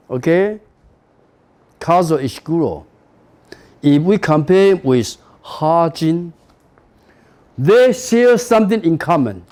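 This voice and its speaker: A man's voice: on the high side, darkish tone. A middle-aged man from Taiwan, a native Taiwanese speaker with a Taiwanese accent, giving a university lecture in English with frequent "you know" and "okay" and emphatic stresses. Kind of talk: classroom lecture.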